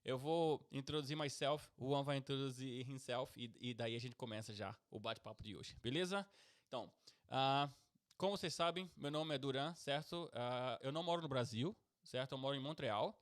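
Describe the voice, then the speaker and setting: A man's voice: medium pitch, normal speed. Middle-aged Pernambucan man, casual podcast host, mid-range voice, talkative. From Brazil, Pernambuco. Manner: chatty, keeps tagging "certo?" and "beleza?".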